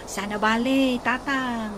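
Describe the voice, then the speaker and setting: An actress with an Ilocano accent playing a bossy, teasing older sister in a radio comedy drama. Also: female voice, on the high side, fairly even intonation, slow. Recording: loud farmyard ambience and background noise.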